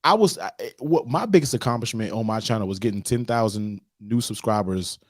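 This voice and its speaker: Fast-talking excitable man, dry sound. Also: lowish voice, no echo. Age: 30s. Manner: excitable, keeps asking "you know what I mean", laughs often. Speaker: guest. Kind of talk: casual chat. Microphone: video call.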